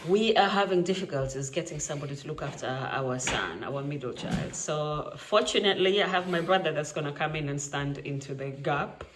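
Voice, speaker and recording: A woman's voice: low voice, a little hiss or hum. A woman in her thirties from Kenya with a Kenyan accent, talking casually to camera at home and laughing often. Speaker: vlogger. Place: quiet home.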